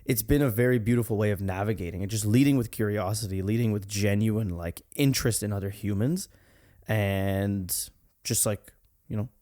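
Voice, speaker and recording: A young man in his twenties hosting a casual podcast chat, speaking in a calm, thoughtful, reflective way. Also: on the low side, normal speed. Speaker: podcast host.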